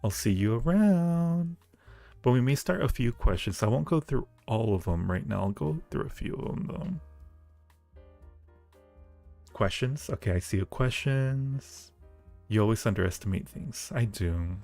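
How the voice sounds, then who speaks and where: A man's voice: medium pitch, lively intonation, unhurried, gravelly, mellow. A man hosting a livestream, chatting casually and playfully with viewers and speaking to them affectionately. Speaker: livestream host and audio roleplay creator. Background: background music.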